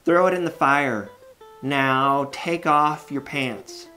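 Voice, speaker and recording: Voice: mid-range voice, takes it slowly, pushed and bright, faint music behind. A storyteller voicing a sly werewolf who pretends to be a coaxing old grandmother. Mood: coaxing, commanding.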